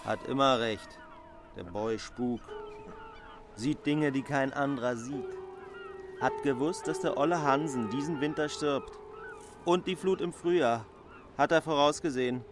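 Slow-spoken male villager, played by an actor. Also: medium pitch, lightly acted. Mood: awed. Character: credulous, matter-of-fact male villager. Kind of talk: German radio play acting. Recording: outdoor ambience with geese, faint noise.

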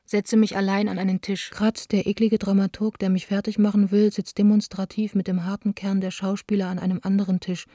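Radio play: actress as lonely young woman, introspective and yearning, mellow, restrained melody, dry close sound. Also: mid-range voice, level tone, brisk, hardly any pauses, dry recording, lightly acted. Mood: confiding, troubled. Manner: confiding, introspective. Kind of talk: radio drama, intimate letter monologue.

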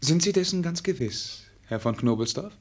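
An actor playing a melancholy, self-doubting young prince in a radio play, speaking formally and earnestly. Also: male voice, on the high side, lively intonation, normal speed. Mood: formal, doubtful. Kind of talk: German radio play acting.

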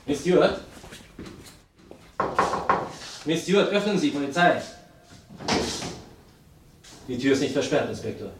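A man's voice: a little high, animated, normal speed, natural voice. A man playing a dutiful police assistant in a German radio crime play, reporting in a clipped, factual way. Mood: official, insistent. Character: dutiful, matter-of-fact male police assistant. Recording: clearly hissy old radio recording.

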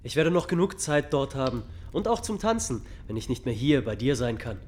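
An actor playing a lively, affectionate young brother in a German radio play, eager and playful. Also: male voice, mid-range voice, brisk, slight background noise, close to an everyday voice. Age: young adult.

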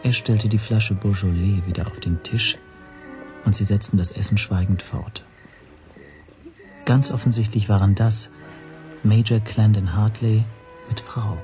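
A male actor as an uneasy, watchful hotel guest narrating a radio play in a low, soft-edged voice. He is measured, quiet and exact, with faint music behind him. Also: steady tone, natural voice. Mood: observant, measured. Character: nervous, observant male hotel guest telling his story. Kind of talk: scripted first-person radio drama narration.

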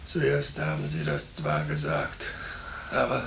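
An actor playing an anxious, resigned hospital patient in a 1950s radio drama, speaking in a hushed, halting, gravelly voice. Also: male voice, very deep voice, big pitch swings, full character voice. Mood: agitated, bitter. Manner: halting, brooding. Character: seriously ill male hospital patient, anxious, resigned, bitter. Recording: echoey, faintly noisy old radio recording.

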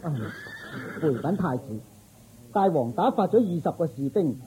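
A man's voice: on the high side, animated, moderate pace, mellow, lightly acted, rough recording. A man playing a dutiful, agreeable court official in a Cantonese radio drama, earnest and deferential. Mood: respectful.

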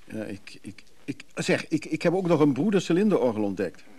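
Casting: world-weary, hesitant antiques dealer, soft dark timbre, naturalistic radio drama, faint noise. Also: male voice, fairly high pitch, big pitch swings, fairly quick. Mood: evasive. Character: Freek, evasive, soothing middle-class antiques buyer and brother. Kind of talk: naturalistic Dutch radio play acting.

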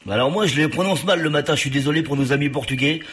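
A man's voice: a little high, very fast, fairly bright tone, breathy edge. A man hosting a morning radio show, chatty and self-deprecating, laughing easily with his guest. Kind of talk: casual radio chat.

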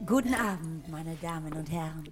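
A woman's voice: low-pitched, moderate pace, over loud music, close to an everyday voice. An actress playing a grandiose cabaret artiste, announcing theatrically and ceremoniously to her bar audience in a satirical radio play.

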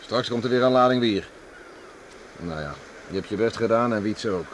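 A middle-aged man playing a gruff, hard-working farmer father with a kind core in a radio drama. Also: fairly low pitch, animated, moderate pace, natural voice. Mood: gruff, relenting. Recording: faintly noisy old radio recording.

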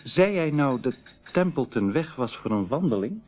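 Heard through a thin, phone-like old radio recording, an anxious male lawyer with a mid-pitched voice. He talks uneasily, as a man fretting over his good name in a radio play. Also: very animated, moderate pace. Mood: troubled, fretful. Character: worried, fretful male lawyer, anxious about his reputation. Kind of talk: Dutch radio drama acting.

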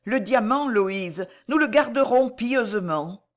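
A woman voicing a gentle, dignified noble mother in an audiobook novel, speaking solemnly and earnestly. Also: mid-range voice, animated, slow pace, voice pushed up. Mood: wistful. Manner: solemn, tender, formal theatrical speech.

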